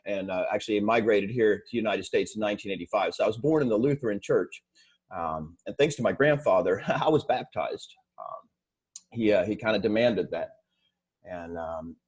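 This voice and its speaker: A man in his forties who grew up in Sweden, talking as a guest in a casual interview. His voice sits low and sounds slightly husky. He speaks haltingly, filling pauses with uhs and restarting his sentences. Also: darkish tone. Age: 40s. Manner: halting, many fillers and restarts.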